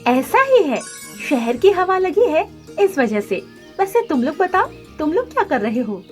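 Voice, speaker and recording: Casting: woman as young female cartoon bird, bold and defiant, high animated voice, music underneath. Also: unhurried. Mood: cheerful. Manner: assertive, argues back. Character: defiant young female bird who dreams of racing bikes.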